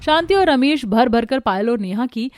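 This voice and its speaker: Lady narrating a cartoon story, highish voice, soft music behind. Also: lively intonation, normal speed.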